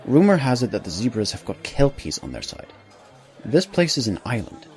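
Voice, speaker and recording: A man playing a cautious, loyal stallion ambassador in an audio drama, measured and wary. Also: mid-range voice, sing-song, a little mellow, soft background music, lightly acted.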